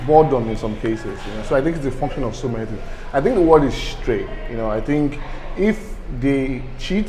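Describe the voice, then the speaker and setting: A man giving a considered, casual opinion as an interviewee. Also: medium pitch. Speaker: street interviewee. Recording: clear background noise on location.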